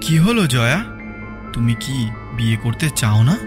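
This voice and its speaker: A man's voice: medium pitch, a little slow. A man playing an eager, lovelorn young man in a cartoon drama, earnest, pleading and emotional. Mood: anxious, questioning. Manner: pleading, excitable, emotional delivery. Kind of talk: dramatic audio story acting. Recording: music under the voice.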